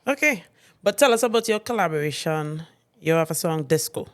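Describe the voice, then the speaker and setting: A man's voice: fairly high pitch, animated, fairly quick, fairly bright tone. A man hosting a casual interview, prompting his guest and nodding along with frequent short affirmations. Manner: encouraging, lots of affirming backchannels. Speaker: interview host.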